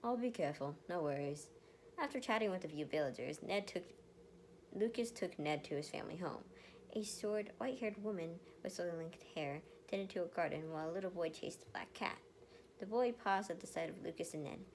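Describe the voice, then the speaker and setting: Female amateur narrator, lowish voice, restarts phrases often, background noise. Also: fairly quick, low-quality recording. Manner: often stumbles and rereads phrases. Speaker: fanfiction reader.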